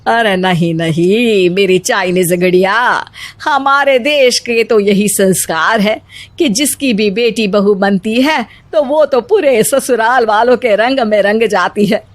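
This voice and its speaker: A woman's voice: mid-range voice, takes it slowly, dry recording, close to an everyday voice. A woman voicing an affectionate, proud and opinionated Indian mother-in-law in a cartoon story, exclamatory and instructive. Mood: reassuring. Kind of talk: Hindi animated story acting.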